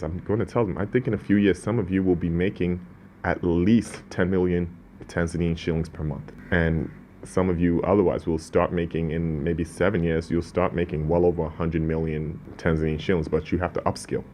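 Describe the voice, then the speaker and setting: A man's voice: sits low, flat delivery, normal speed, dark tone, a little hiss or hum. A man born in Ghana, speaking as a business owner in an interview, earnest and prone to restarts. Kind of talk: casual interview talk.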